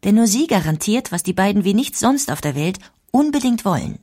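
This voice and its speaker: A woman's voice: medium pitch, very animated, fairly quick, warm tone, no room sound, clean studio sound. A woman narrating a documentary audiobook with dramatic emphasis.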